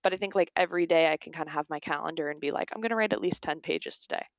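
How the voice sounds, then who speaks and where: Female, young adult, podcast guest. Informal, gravelly edges, dry sound. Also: low-pitched, very fast, no room sound. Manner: informal, peppered with "like" and quoted asides. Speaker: guest, author. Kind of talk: casual interview conversation.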